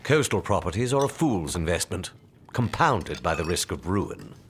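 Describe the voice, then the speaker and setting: An actor playing a dour, condescending gentleman, pompous and disdainful, in an audio drama. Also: sits low, big pitch swings, normal speed, slight background noise.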